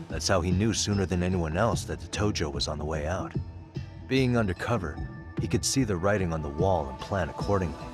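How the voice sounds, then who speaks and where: A composed detective from a video game , voiced by a man, thinking things through step by step. His voice is deep and measured, with music clearly behind it. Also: lightly acted. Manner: measured, reasoning. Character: cool, analytical male detective, focused and composed. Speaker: voice actor in an English dub. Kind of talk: video game cutscene dialogue.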